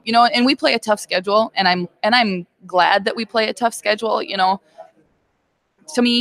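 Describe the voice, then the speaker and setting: A woman in her thirties, a girls basketball head coach, answering interview questions in a plain-spoken way with many fillers. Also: mid-range voice. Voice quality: loud voice.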